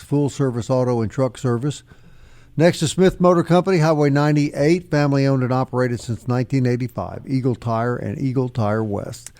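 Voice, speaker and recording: A man's voice: medium pitch, darkish tone. A man hosting a sports talk radio show, moving between interview questions and live sponsor reads.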